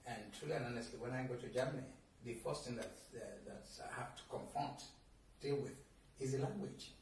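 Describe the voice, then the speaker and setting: A man's voice: medium pitch, steady tone, fairly quick, darkish tone. A Nigerian-born male TV interviewer asks long, rambling questions, often restarting his sentences. His mid-range voice sounds distant, picked up in a very echoey room with faint background noise. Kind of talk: conversational interview.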